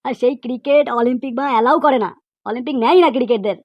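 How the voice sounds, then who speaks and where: Woman voicing a rapid-fire, outspoken cartoon pupil. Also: high-pitched, no echo, highs cut off. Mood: indignant, preachy. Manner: emphatic, repetitive, rhetorical questioning. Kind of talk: cartoon comedy monologue.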